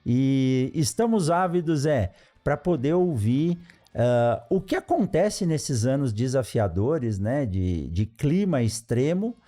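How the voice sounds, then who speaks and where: A man's voice: medium pitch, sing-song, slow. A man hosting an agriculture podcast, introducing his guest with effusive praise and frequent tag questions. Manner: warm, effusive with frequent "né" tags and restarts. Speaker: podcast host. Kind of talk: interview introduction.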